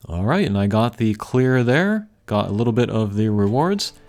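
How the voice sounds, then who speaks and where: A man giving casual, unscripted gameplay commentary as a channel host, thinking aloud in a measured way. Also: fairly low pitch, very animated, darkish tone. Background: game music.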